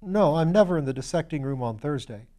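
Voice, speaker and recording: A man reads out an eager young upper-class student in a storybook. He is easily flustered and runs himself down in old-fashioned slang. The voice rides high and stays mellow. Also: a little fast, lightly acted. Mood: recalling, eager. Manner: excitable, self-deprecating, old-fashioned slangy speech.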